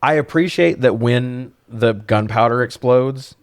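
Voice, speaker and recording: A man's voice: mid-range voice, measured pace, no room sound, clean studio sound. A man co-hosting a podcast, chatting casually about films in a slangy, profane, joking way. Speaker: podcast co-host reviewing films. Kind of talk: casual banter, film commentary.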